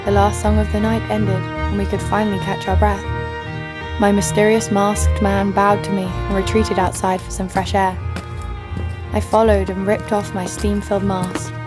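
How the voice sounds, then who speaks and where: A woman's voice: medium pitch, flat delivery, normal speed, over loud music, close to an everyday voice. A woman playing a brash, sardonic young adventurer, blunt and sarcastic, narrating her exploits in an audio drama. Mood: wry storytelling.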